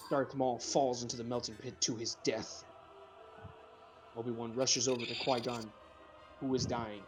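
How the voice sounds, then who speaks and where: A man's voice: mid-range voice, level tone, moderate pace, faint music behind. A man reading screenplay directions aloud as the narrator of a group script reading.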